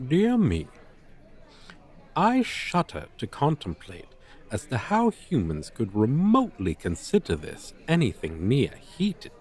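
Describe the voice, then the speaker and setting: Man playing a slow, pompous dragon teacher. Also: mid-range voice, wildly swooping pitch, a little mellow, breathy edge, heavily acted. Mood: dismissive, haughty. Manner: pompous, stilted, over-formal diction. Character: haughty male dragon teacher, formal, proud, scornful of humans. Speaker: roleplay voice performer. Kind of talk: ASMR roleplay monologue, theatrical.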